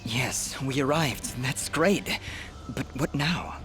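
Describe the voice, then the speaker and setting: A man's voice: mid-range voice, slow pace, fairly bright tone. A man voicing an earnest, resolute young swordsman hero in a video game, protective and determined. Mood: concerned. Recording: music behind the voice.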